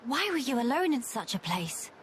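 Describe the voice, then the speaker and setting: Regal, high-voiced heroine. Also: female voice, very animated, normal speed, a little hiss or hum. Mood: concerned, questioning. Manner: formal, composed. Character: poised, regal, caring young female warrior. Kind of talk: video game cutscene acting.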